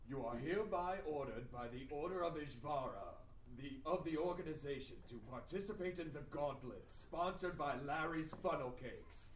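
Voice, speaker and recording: Man acting pompous herald, formal declamation, higher-pitched male voice, echoey, comedy table read. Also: lively intonation, moderate pace, few pauses, natural voice. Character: formal herald reading a pompous secret-society decree. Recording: distant mic, echoey room, faint noise.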